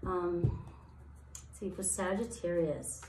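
A woman in her thirties with a slightly raspy voice, giving a casual tarot reading and rambling through her thoughts with many fillers. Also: on the low side, takes it slowly, noisy background. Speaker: tarot reader. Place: sparse room, indoors, seated at floor level. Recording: echoey empty room.

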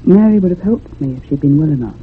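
An actress playing a depressed, lonely woman writer in her thirties, speaking in a measured, confiding in a radio drama. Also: low voice, lively intonation, slightly rough, heavily acted. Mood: downcast, confiding. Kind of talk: radio drama acting and first-person narration. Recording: muffled lo-fi old radio recording.

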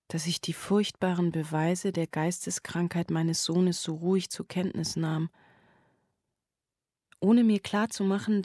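A woman playing a haunted, bewildered single mother in a German radio drama, speaking in measured, literary fragments. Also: lowish voice, a little mellow, no echo, studio quality.

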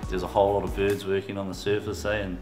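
A man's voice: low-pitched, level tone, quick pace. A man with a New Zealand accent, talking about a fishing trip in an easygoing drawl, tagging lines with "eh". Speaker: fisherman. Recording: roomy sound with music behind.

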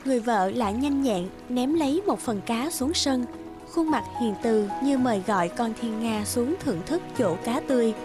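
High-voiced woman narrating a fairy tale. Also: animated, moderate pace. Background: background music.

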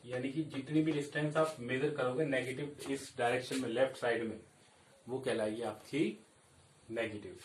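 A man's voice: mid-range voice, fairly even intonation, moderate pace, recorded from a distance, slight background noise. A young man teaching at a chalkboard, explaining step by step and often checking in with "okay, kids". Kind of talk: chalkboard lesson. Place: classroom at a chalkboard. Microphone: camera set back from the board. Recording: echoey room, voice a bit off-mic.

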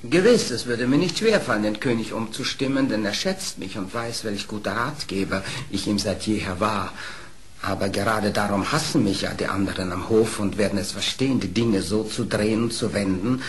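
An actor playing a sly, cruel fox trickster in a radio play, speaking smoothly and with self-assurance. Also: male voice, on the low side, normal speed, slight background noise, close to an everyday voice. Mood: smooth, scheming. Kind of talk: German radio play acting.

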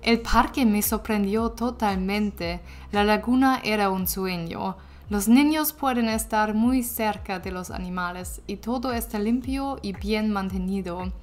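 A woman, a native German speaker, narrating a vlog in a smooth scripted voice-over. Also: medium pitch, measured pace, faint music behind. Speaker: vlogger narrating.

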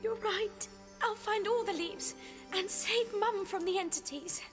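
A woman's voice: extremely high pitch, unhurried, in a large hall, soft background music. An actress with a British accent playing an earnest, anxious but determined teenage girl in a video game. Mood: resolute. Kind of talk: dramatic video game acting.

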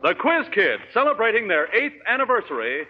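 An American man announces with a high, very animated delivery in 1940s radio style. The sound is dull, from an old broadcast recording. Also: fairly quick, slightly rough, soft background music.

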